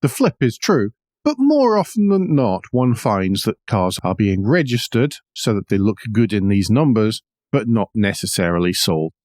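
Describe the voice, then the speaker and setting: Male podcast host for news commentary: low voice, measured, wry, tight dry sound. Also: big pitch swings, a little mellow, no room sound.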